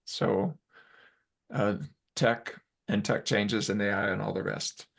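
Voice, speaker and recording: A man's voice: on the low side, fairly even intonation, slow pace, creaky, a bit bright. A male guest speaker giving an earnest, reflective talk over a video call.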